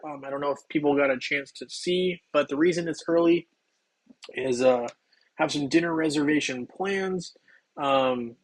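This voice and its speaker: A slightly hoarse man hosting a game livestream, chatting loosely with viewers while he plays, with plenty of ums and restarts. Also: fairly high pitch. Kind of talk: casual livestream chat while playing.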